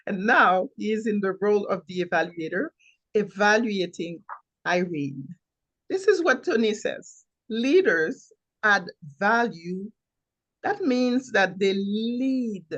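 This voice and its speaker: A woman hosting a club meeting, formally introducing the evaluators, heard through dull audio. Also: medium pitch.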